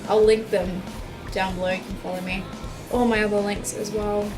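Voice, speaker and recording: A woman's voice: mid-range voice, little pitch movement, normal speed, over music. A woman in her twenties chatting casually in a video, answering viewer questions with frequent likes and ums. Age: 20s. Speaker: YouTube musician. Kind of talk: casual Q and A vlog.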